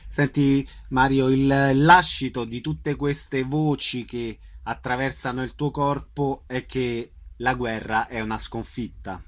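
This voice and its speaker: A man's voice: mid-range voice, steady tone, slow pace, few pauses. A man hosting a radio interview, addressing his guests informally.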